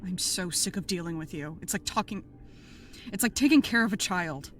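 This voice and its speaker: A woman's voice: on the low side, normal speed, fairly bright tone, slight background noise, natural voice. A woman playing a worried, exasperated podcast producer in her thirties in an audio drama, earnest and pleading. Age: 30s.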